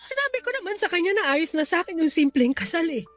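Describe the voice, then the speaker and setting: A woman's voice: very high voice, big pitch swings, fast talker, no echo, faint music behind. A woman playing a weary, resentful young wife in a Tagalog radio drama, venting plaintively and hesitantly to her cousin. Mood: regretful, bitter.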